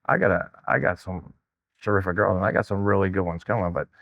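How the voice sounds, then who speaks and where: A man's voice: sits low, fairly even intonation, fast talker, gravelly, no echo, lo-fi sound. A man in his fifties from New Jersey, a wrestling coach talking candidly and casually in an interview. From New Jersey, United States. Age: 50s. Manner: candid, old-school. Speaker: high school wrestling coach.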